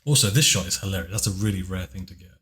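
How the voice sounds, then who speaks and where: A man with a very deep, low voice, chatting casually in a commentary on his own video, speaking softly and hesitantly with many fillers. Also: very fast. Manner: soft-spoken, hesitant, with many fillers and restarts. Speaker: video creator giving commentary.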